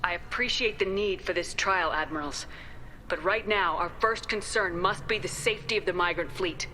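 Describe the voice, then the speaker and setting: A human starship commander in a sci-fi game. She is formal and resolute, with a forceful, bright tone. Faint noise sits behind her. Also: lowish voice, normal speed, poor audio. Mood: firm, composed. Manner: firm, formal. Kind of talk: dramatic video game acting.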